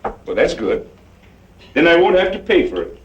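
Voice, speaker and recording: An actor playing a swaggering, mocking Western gunslinger with a folksy drawl, in the style of old-time radio drama. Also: male voice, on the high side, very animated, normal speed, muffled recording. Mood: mocking, easygoing. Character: swaggering, taunting Western gunslinger drifter who sings.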